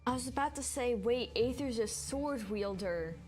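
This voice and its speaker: A woman's voice: fairly high pitch, expressive, moderate pace, darkish tone. A woman streaming a video game, commenting casually and informally as she thinks aloud. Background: game music.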